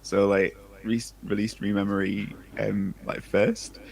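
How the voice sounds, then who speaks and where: Low, creaky-voiced man chatting casually. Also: level tone, unhurried, a little mellow, rough recording. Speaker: debut sci-fi author, livestream guest. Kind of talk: casual chat.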